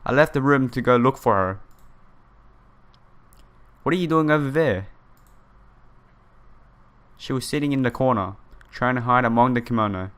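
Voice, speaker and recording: A man's voice: medium pitch, normal speed, pauses often, a bit bright, smooth. A man doing a casual let's play of a visual novel, reading the text aloud with side comments and stumbling over some words. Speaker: gaming YouTuber playing a visual novel. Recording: music playing behind the voice. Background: game music.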